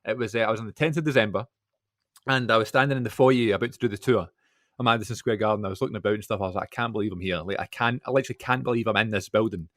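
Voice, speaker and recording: A man talking quickly and eagerly on a podcast. He tells his story in an easy, chatty way, and the sound is close and dry with no room echo. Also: lowish voice. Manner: fast, animated storytelling. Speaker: podcast co-host. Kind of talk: casual podcast chat.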